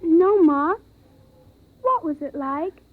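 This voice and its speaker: A young American girl in an old radio play, with a piping high voice. She is sulky yet curious, heard through a tinny, narrow vintage recording. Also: lively intonation, takes it slowly, heavily acted. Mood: plaintive. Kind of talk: 1950s radio drama acting.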